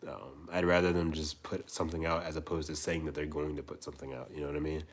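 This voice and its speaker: Low husky young man, rambling. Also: flat delivery, fast. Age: 20s. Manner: rambling, repeats words, soft trailing asides. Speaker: livestream host. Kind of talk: casual livestream chat.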